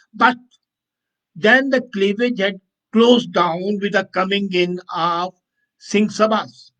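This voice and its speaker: A man's voice: high-pitched, fairly bright tone, poor audio. A man hosting an unscripted political commentary, speaking in an emphatic, opinionated way and often correcting himself as he goes. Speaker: commentator and host answering viewer comments.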